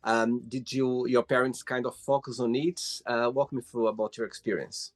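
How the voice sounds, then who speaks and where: A man hosting a casual interview over a call, asking friendly, halting questions with many fillers. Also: mid-range voice, fairly even intonation, no echo. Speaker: host.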